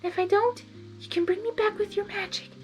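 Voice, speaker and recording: A woman voicing a gentle young female pony in a fanfiction story, soft, earnest and pleading but determined. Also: extremely high pitch, steady tone, fast talker, faint music behind, voice pushed up.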